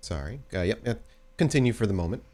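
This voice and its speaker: A man's voice: on the low side, animated, quick pace, dark tone. A man narrating a tabletop roleplay game as game master, descriptive and rephrasing as he goes.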